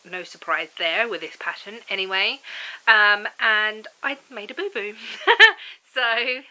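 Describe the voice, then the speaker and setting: A woman's voice: mid-range voice, a little slow, bright, forceful tone, low-quality recording. A woman with a cold and a froggy, bunged-up throat, chatting casually and rambling to camera from her home. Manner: rambling and chatty, laughs often. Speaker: vlogger. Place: at home indoors.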